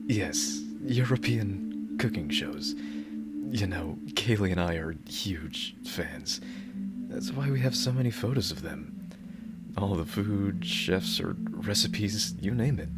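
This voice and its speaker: A man's voice: low voice, lively intonation, slow. A man playing a devoted, dorky yandere bodyguard in an ASMR roleplay, earnest and rambling, awkwardly correcting his own slips. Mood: sheepish, eager. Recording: loud music behind the voice. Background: music.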